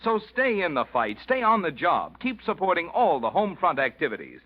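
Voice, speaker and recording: A man's voice: on the high side, wildly swooping pitch, normal speed. A man reading a scripted appeal as a 1940s radio announcer, earnest and emphatic. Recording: muffled old radio recording.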